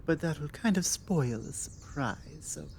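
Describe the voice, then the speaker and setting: A woman with a British accent playing a forward, confident female vampire in an intimate ASMR roleplay, teasing and coaxing with deliberate pauses. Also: deep voice, vocal fry, a little hiss or hum, full character voice. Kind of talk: ASMR roleplay, intimate one-sided conversation. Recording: steady outdoor ambience with birdsong. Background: birdsong and outdoor ambience.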